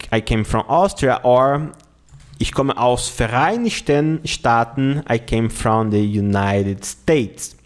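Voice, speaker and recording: A man with a Brazilian accent teaching an online lesson, going slowly, repeating words and often checking with "okay?". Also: mid-range voice. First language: Portuguese. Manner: slow, repeats words and phrases often, says "okay?" a lot. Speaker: language teacher.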